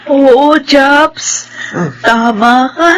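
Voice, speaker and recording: A woman's voice: sits high, extremely slow. An actress in a Filipino radio drama playing a troubled, lonely young woman who is needy and easily tearful. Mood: uneasy, coy.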